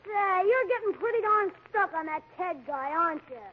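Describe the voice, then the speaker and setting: Cheeky twelve-year-old boy, very high voice, slangy and pestering, old radio comedy, dull recording. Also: lively intonation, normal speed. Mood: eager, cheeky. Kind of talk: 1940s radio comedy acting.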